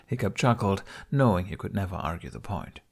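A man's voice: low-pitched, animated, a little fast, vocal fry, breathy edge. A man reading a scripted story aloud as an audiobook narrator.

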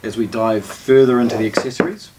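A man's voice: mid-range voice, steady tone, fast. A middle-aged man, giving an unscripted product unboxing commentary as a presenter. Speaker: shop presenter, product reviewer. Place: small indoor listening room with shelves. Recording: distant camera mic, background noise, clicks.

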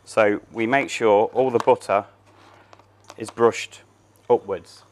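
A man's voice: on the low side, fairly even intonation, slow pace, slight background noise. A man in his thirties, a chef, talking through a cooking demonstration step by step in a large showroom kitchen. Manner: plain, step-by-step explaining while working.

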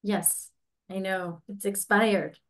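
A woman's voice: mid-range voice, steady tone, slow, fairly bright tone. A woman in her forties playing an exasperated, persistent licence applicant in a staged comedy reading, with a plaintive manner. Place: indoors, on a video call.

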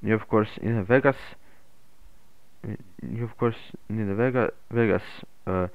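Guy doing a tutorial, phone-like narrow audio, kinda halting, smooth voice. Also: lowish voice, dry recording. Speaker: tutorial host. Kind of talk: unscripted software tutorial narration.

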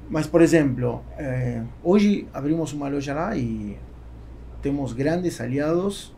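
A man in his forties with a Spanish accent in Portuguese, talking casually and haltingly as a podcast guest. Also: on the high side, animated, creaky, warm tone. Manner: halting, with repeated words. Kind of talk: casual interview talk. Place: small foam-padded podcast studio. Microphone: close studio microphone on boom arm. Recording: faint background noise.

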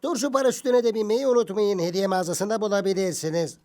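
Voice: mid-range voice, fairly even intonation, quick pace, natural voice. An actor voicing a weary museum tour guide who drawls in a dubbed cartoon. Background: loud music.

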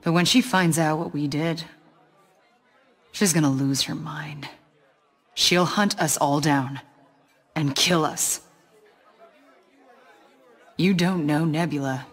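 Haunted game assassin, subdued and husky, dry wit. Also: female voice, sits low, fairly even intonation, takes it slowly, pauses often, a faint crowd, heavily acted. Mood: troubled. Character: brooding green-skinned female warrior assassin. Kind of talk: video game acting.

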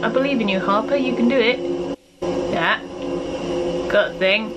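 A woman's voice: a little high, moderate pace, highs cut off, heavy background noise. A woman in her thirties giving casual, reactive gameplay commentary, self-mocking and talking to herself and the game. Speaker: gamer hosting a playthrough. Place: small furnished room at home, indoors.

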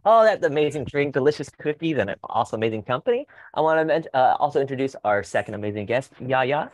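A man in his thirties hosting a casual online show over a video call, excitable and gushing, quick to laugh. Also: mid-range voice, no echo. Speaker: host. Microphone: headset, video call.

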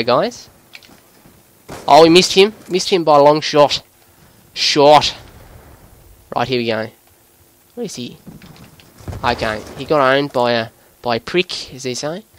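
A man putting on an Australian accent as an excitable, sweary bloke giving live video game commentary in a voice pushed higher than usual. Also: a little slow, pauses often, close to an everyday voice. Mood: excited, exasperated. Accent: put-on Australian. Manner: excitable, sweary, breaking into giggles at himself. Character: excitable Australian bloke gamer, jokey larrikin.

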